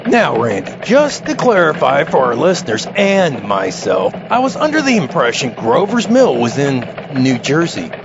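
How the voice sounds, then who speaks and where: A man's voice: on the high side, huge pitch swings, moderate pace, creaky, hardly any pauses, background noise, exaggerated voice. A man playing an earnest, formal local TV news anchor in a comedy radio bulletin. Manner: formal, questioning anchor delivery. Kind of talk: mock special news bulletin. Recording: dull lo-fi audio with music behind.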